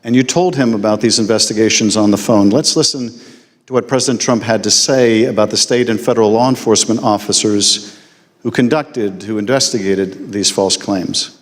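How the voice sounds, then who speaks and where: A man questioning a witness at a formal hearing in a measured, deliberate way. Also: fairly low pitch. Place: large hearing room. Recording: long hall reverb.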